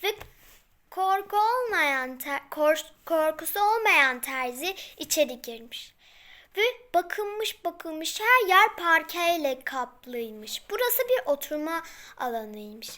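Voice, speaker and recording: Very high-pitched little girl telling stories. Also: lively intonation, bright, forceful tone. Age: child. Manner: halting, repetitive phrasing with self-corrections. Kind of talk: improvised fairy tale storytelling.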